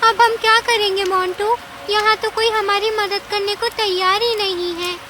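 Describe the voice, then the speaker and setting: A woman's voice: very high voice, steady tone, moderate pace, pushed and bright, soft background music. A woman voicing an anxious young female mouse in a cartoon story, plaintive and fretful. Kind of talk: Hindi cartoon story dialogue. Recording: clear rain and ambience noise.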